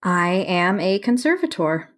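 An actress playing a reserved, quietly earnest young female map conservator in a romantic comedy audio play, measured and gently self-effacing. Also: lowish voice, very animated. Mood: modest, sincere. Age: young adult.

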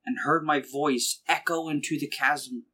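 A man's voice: on the high side, fairly even intonation, measured pace, sharp tone. A man acting an earnest, hesitant, eager romantic everyman in a comic audio play. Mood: dreamy, fervent.

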